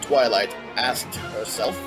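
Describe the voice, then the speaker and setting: A man's voice: medium pitch, slow. A man narrating a scripted story as an audiobook. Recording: music behind the voice. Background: background music.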